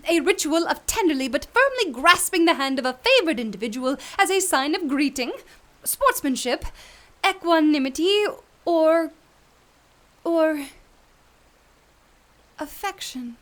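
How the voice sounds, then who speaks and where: A woman's voice: high-pitched, animated, unhurried, pauses often, a bit bright. A woman playing a chirpy eccentric naturalist lady. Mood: quirky. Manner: chirpy, rambling, says odd things matter-of-factly. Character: eccentric cheerful woman naturalist, odd and blunt. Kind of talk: audio drama acting.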